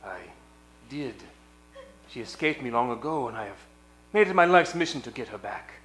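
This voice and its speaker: Male actor as melodramatic radio villain, scheming and pompous, animated pitch, echoey room with music behind. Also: moderate pace, natural voice. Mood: wary, haughty. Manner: melodramatic, muttering then bursting out. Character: scheming, pompous male villain who runs a burlesque diner. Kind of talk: comic old-time radio play acting.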